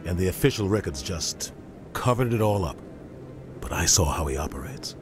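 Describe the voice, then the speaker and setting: A man's voice: low-pitched, expressive, moderate pace. A man playing a middle-aged navy captain in a video game, grave, embittered and emphatic. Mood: somber, bitter. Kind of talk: video game cutscene acting. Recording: music behind game dialogue.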